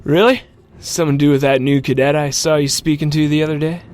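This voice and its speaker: A man's voice: fairly high pitch, fairly even intonation, quick pace, darkish tone, natural voice. A man playing a brash, wary young rookie pilot who narrates his own story in an audio drama. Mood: teasing, curious. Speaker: narrator and character. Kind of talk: scripted first-person audio drama narration.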